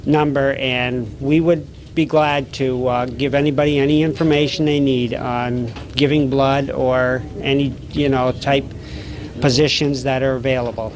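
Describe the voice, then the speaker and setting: A man who works at a blood bank, answering interview questions hesitantly and filling pauses with many uhs. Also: mid-range voice, flat delivery, background noise. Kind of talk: casual interview. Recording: chatter from a busy crowd behind.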